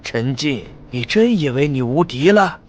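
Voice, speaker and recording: A man's voice: medium pitch, lively intonation, unhurried, dull audio, music in the background, lightly acted. A man playing an arrogant conspirator official in a motion comic, haughty and threatening. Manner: haughty, menacing. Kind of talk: dramatic Chinese motion-comic voice acting.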